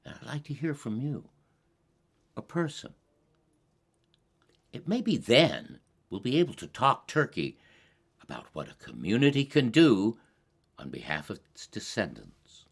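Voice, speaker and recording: An older man hosting a community show, giving a scripted introduction in an earnest, deliberate, halting way. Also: mid-range voice, big pitch swings, creaky, breathy edge. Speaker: host of a community climate show.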